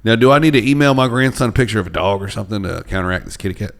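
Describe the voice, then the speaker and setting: A rowdy old country fellow on the phone to tech support, put on by a man, drawling and crude, with a teasing streak. His voice swings widely from high to low. He sits lower than most men in pitch. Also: expressive, rapid-fire, gravelly.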